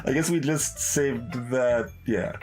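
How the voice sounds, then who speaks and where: A man's voice: medium pitch, takes it slowly, a little mellow. Male game streamer, mid-range voice, casual and hesitant, thinking aloud over background music. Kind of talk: casual live playthrough commentary. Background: game music, guitar.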